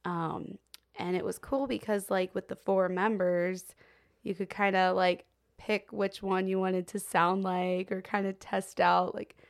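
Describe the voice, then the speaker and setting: A woman's voice: medium pitch, flat delivery, unhurried, gravelly. A young woman co-hosting a podcast, talking casually with lots of fillers and frequent laughs. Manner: chatty, many fillers like "um" and "like", laughs often. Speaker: podcast co-host. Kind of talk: casual chat.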